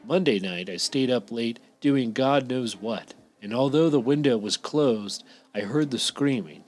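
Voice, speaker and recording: A man's voice: medium pitch, unhurried, soft background music. A man co-hosting a podcast, reading a listener's scary story aloud.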